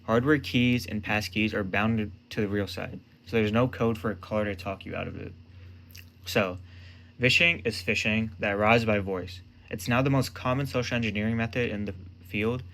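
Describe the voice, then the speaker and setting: Low-voiced man narrating an explainer. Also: normal speed, fairly bright tone, slight background noise. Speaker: presenter of a security explainer.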